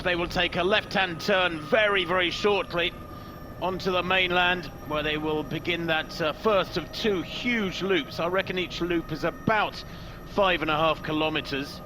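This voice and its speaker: A man's voice: high-pitched, steady tone, normal speed, noisy background. A man calling a road race live, setting the scene and chatting with his co-commentator. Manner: scene-setting, conversational, addresses co-host.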